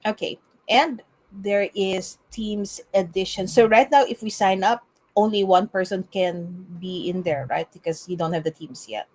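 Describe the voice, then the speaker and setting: A woman hosting a live interview, asking brisk questions and quickly acknowledging the answers. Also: medium pitch, a little mellow, low-quality recording. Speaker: interviewer, channel host.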